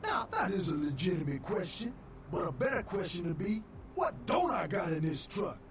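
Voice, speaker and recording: A man's voice: high voice, very animated, moderate pace, noisy background. A man playing a brash, boastful street hustler in a video game, delivering slangy sales patter. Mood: smooth, businesslike. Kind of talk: video game character dialogue. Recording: echoey, distant game audio under loud music.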